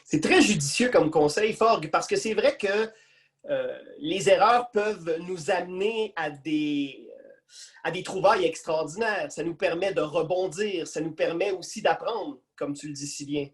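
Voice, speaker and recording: A man's voice: a little high, unhurried. A man with a Quebec French accent hosting an online interview in a casual conversational style. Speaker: festival host and interviewer. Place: video call.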